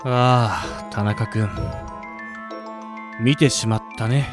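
A man's voice: mid-range voice, steady tone, slow pace, music in the background, close to an everyday voice. A man playing a pompous but easily cowed skeleton gym manager in a Japanese radio drama. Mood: stern, brooding. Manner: lecturing, self-deprecating, apologetic. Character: skeleton gym manager, pompous yet meek when scolded. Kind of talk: Japanese audio drama acting.

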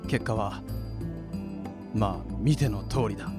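A man's voice: lowish voice, slow pace, over loud music. A man playing a proud ancient demon lord and magician in a Japanese radio drama, speaking in a grandiose, archaic manner. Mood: grave, resolute. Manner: grandiose archaic speech, declaiming. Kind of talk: Japanese anime-style radio drama acting.

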